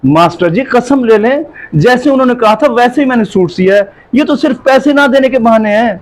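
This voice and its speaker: An actor playing a poor, honest tailor with a deferential, pleading way of speaking in a moral drama. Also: male voice, extremely high pitch, animated, brisk. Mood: aggrieved. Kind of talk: moral story drama acting.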